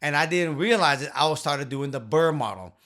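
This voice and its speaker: A man in his fifties telling his story to the camera in a small home office, earnest and emphatic, into a boom-arm studio mic. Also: expressive, moderate pace, fairly bright tone. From Vietnam. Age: 50s. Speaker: real estate investor and channel host.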